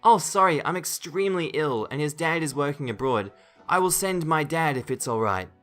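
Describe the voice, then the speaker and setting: Man as ill mother, apologetic, anxious, light raised voice, animated, storytime character. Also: a little high, normal speed, soft background music, voice pushed up.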